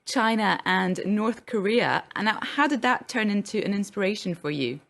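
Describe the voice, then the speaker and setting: North Welsh woman interviewer, mid-pitched, chatty questions. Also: animated, moderate pace, vocal fry, fairly bright tone. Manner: curious, conversational.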